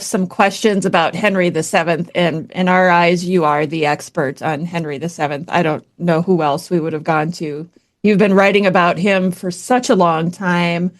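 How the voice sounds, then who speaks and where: A woman's voice: lowish voice, steady tone, normal speed. Chatty woman podcast host. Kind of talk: podcast interview.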